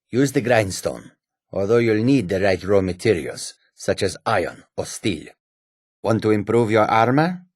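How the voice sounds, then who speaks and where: An actor playing a shrewd, proud cat-folk warrior and trader in a fantasy video game, with a husky, rasping, theatrical delivery. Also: male voice, on the low side, darkish tone, dry recording, clean studio sound, full character voice.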